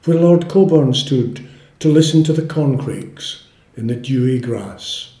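Old scottish guy, poet, soft mellow tone, formal careful way of talking, bit of room echo. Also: medium pitch. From Scotland. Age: 80s. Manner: measured, formal, hesitant phrasing with careful pauses. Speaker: poet, interview guest. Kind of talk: literary interview with poetry reading. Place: indoor room with some echo. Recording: roomy, echoing indoor sound.

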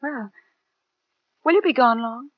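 Husky, high-voiced gentle American woman. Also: a little slow, no room sound. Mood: tender, curious. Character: gentle, welcoming young mother courted by a suitor. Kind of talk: 1940s radio drama acting. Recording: muffled 1940s radio broadcast.

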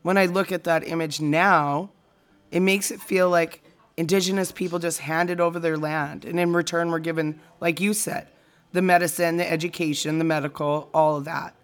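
A woman's voice: fairly low pitch, steady tone, normal speed, lightly acted. A woman acting in an audio drama as an opinionated, plainspoken friend in casual conversation. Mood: thoughtful, irritated. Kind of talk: naturalistic audio drama dialogue.

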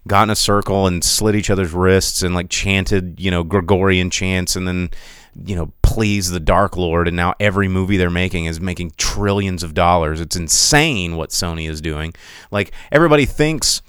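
A man hosting a casual solo podcast, rambling with many restarts and animated asides while he reads chat. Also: deep voice, normal speed, clear, resonant tone, no echo, clean studio sound. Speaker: podcast host.

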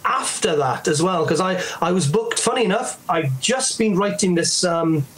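A middle-aged man with a Welsh accent and a notably high speaking voice. He talks casually and tells anecdotes in a chatty way. He slips into the voices of people he is quoting. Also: animated, normal speed, a bit bright. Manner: chatty storyteller, acts out quoted voices. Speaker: guest.

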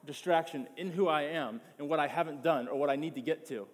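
A man's voice: a little high, brisk, in a large hall. A man preaching a sermon as pastor, passionate and emphatic, often repeating words as he builds his point.

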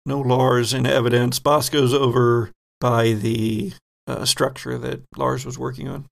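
Gravelly-voiced man running a game. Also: mid-range voice, flat delivery. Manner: descriptive, unhurried with frequent ums. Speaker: game master. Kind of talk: narrating a tabletop horror game.

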